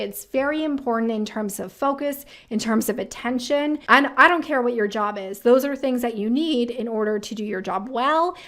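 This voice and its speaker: Female career advice host, higher voice, informal and opinionated commentary delivered straight to the viewer. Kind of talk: chatty direct-to-camera commentary.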